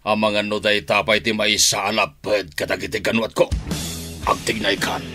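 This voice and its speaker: A commanding crime boss in a radio drama. His voice is on the low side and carries authority, with faint music behind it. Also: level tone, normal speed, sharp tone. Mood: stern, commanding.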